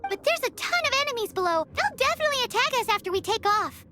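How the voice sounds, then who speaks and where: A tiny childlike sidekick creature with a squeaky, extremely high voice. She is chirpy, curious and skeptical, in anime game style, over loud music. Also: sing-song, quick pace. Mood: doubtful.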